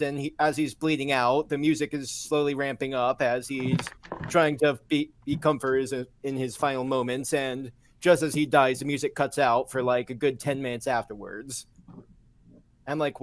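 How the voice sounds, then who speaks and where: A man's voice: mid-range voice, steady tone, normal speed, no room sound. A man talking casually as a panelist in a group podcast discussion.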